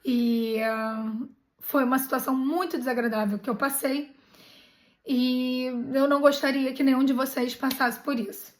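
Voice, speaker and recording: A middle-aged Brazilian woman, a travel channel host, retelling a bad bus trip in an animated, indignant way, with many restarts. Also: high-pitched, moderate pace. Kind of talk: personal storytelling vlog.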